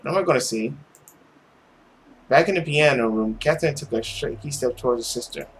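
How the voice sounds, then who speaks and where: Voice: mid-range voice, brisk, a little hiss or hum. A narrator reading a visual novel aloud in a theatrical, suspenseful storytelling manner. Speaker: video game playthrough narrator.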